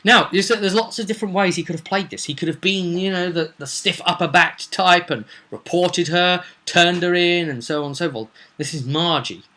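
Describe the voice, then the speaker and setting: Man, game master, informal session recap, very bright forceful voice, slightly high pitch, rambling animated storytelling. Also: normal speed, few pauses. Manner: rambling, animated, acts out quotes.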